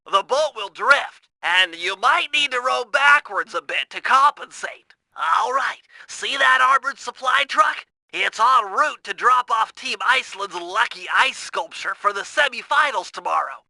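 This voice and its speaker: A nerdy young male turtle from a cartoon video game, cautious and exact. The voice is thin, creaky and very sharp, recorded close and dry. He explains things in wordy, earnest, instructional sentences. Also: extremely high pitch, no room sound, exaggerated voice, poor audio. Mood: earnest, scheming. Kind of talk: video game mission briefing and tutorial voice acting.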